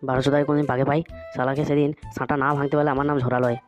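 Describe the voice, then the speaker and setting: A woman's voice: very deep voice, level tone, very fast, a little mellow. A woman voicing a grumpy, lazy, abusive father in a comedy cartoon, scolding and cursing. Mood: resentful, grumbling. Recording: muffled lo-fi audio with music.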